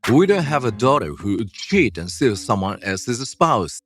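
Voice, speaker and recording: A man's voice: mid-range voice, big pitch swings, measured pace, a bit bright. A man playing a stern, blunt middle-aged father in a manga story dub. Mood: stern, cold. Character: stern middle-aged father disowning his cheating daughter. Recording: music under the voice.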